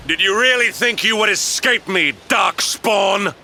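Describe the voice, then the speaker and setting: A man's voice: sits high, a little slow, sharp tone, slight background noise, exaggerated voice. A man playing a menacing, relentless knight commander in a video game cutscene, declaiming imperiously. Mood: commanding fury. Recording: music behind the voice.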